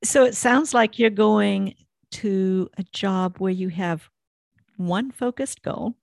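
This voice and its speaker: A woman with a mid-pitched voice speaks as the host of a casual interview. The sound is dry, with very little room to it. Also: takes it slowly, creaky, a little mellow, no echo.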